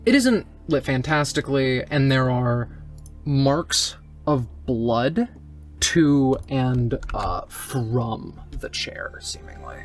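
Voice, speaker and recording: A mid-pitched narrator sets a tabletop game scene very slowly. The delivery is deliberate and descriptive, broken by pauses, with soft music underneath. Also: animated. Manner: deliberate, pausing between phrases. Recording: music behind the voice.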